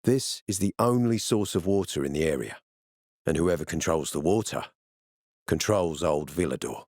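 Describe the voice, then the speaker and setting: A man playing a gruff, weary military officer in a video game, speaking with measured authority. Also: sits low, animated, darkish tone, no room sound, studio quality. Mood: commanding, measured. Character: gruff, weary military officer, commanding, later a regretful father. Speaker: game character voice actor.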